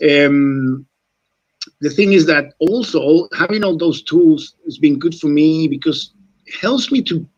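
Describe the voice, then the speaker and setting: A man's voice: a little high, measured pace, dull audio. A man in his forties from Chile, whose first language is not English, talking earnestly as a rugby coach in a radio interview. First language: Spanish. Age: 40s. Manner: earnest, repeats words, says mate often.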